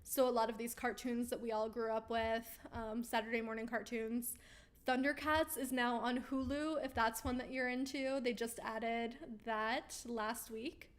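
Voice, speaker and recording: A chatty woman hosting a live stream, her fairly high voice going hoarse as she reads out viewer comments. She talks casually and upbeat, with frequent ums and easy laughs. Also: fairly even intonation, a little slow. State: losing her voice. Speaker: host of a weekly live Q&A stream. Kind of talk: casual livestream chat, reading viewer comments aloud.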